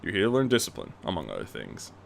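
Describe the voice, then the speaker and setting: A man's voice: sits low, huge pitch swings, fast talker, gravelly, slight background noise, pitched below the performer's own voice, heavily acted. A man voicing a stern seventy-year-old male martial arts mentor in a storybook reading, terse and firm. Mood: stern, chiding.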